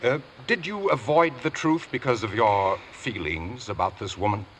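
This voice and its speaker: A man playing a brisk, formal prosecutor in a vintage radio courtroom drama, questioning in a crisp, probing way. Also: on the low side, expressive, fairly bright tone. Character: brisk, formal male Crown prosecutor cross-examining. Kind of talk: 1940s-50s radio courtroom drama acting. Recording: faintly noisy vintage radio recording.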